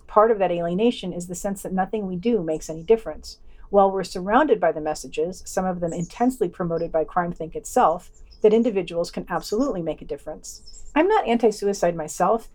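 A woman's voice: fairly low pitch, lively intonation, brisk, a little mellow. A woman presenting a podcast, reading scripted reviews and editorial commentary.

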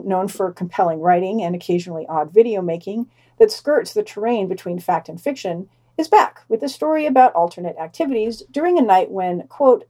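Woman, podcast news reader, dark relaxed tone, dry delivery, sardonic commentary, scripted digest. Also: mid-range voice.

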